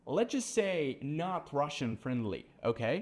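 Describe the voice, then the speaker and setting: A man's voice: on the high side, unhurried. A young man from Serbia with a Serbian accent, telling sales stories in a casual business chat, peppering his talk with "bro" and "like". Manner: animated storytelling, says "bro" and "like" a lot. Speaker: sales agency co-founder.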